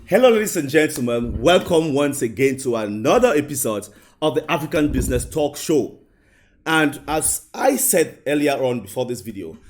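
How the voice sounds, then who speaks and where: A man hosting a studio interview show, talking emphatically with repeated phrases and "you know" asides. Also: mid-range voice. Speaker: talk show host and interviewer. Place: indoor studio.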